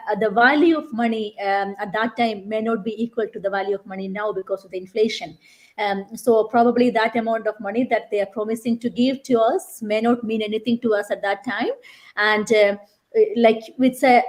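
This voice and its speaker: A woman's voice: on the high side, darkish tone. A woman hosting a live online interview, chatty with many fillers and restarts. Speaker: host.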